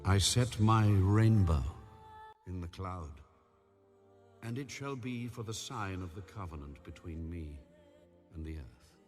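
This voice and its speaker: Deep, slow male scripture narrator over music. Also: expressive, a little mellow. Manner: measured, grave, with deliberate pauses. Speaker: audio Bible narrator.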